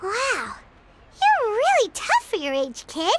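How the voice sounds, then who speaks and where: An actress voicing Paimon, a small, excitable fairy companion who talks about herself in the third person, in a video game. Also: female voice, takes it slowly, heavy background noise, heavily acted. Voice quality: high, squeaky. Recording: soft music behind.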